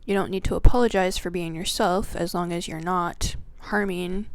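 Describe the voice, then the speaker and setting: A woman teaching the zodiac signs in a spiritual video that opens with a prayer. She speaks reverently, draws her phrases out, drops into soft whispers and lists qualities one word at a time. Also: lowish voice, steady tone, no room sound. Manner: slow, drawn-out delivery with soft whispered phrases. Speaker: astrology teacher.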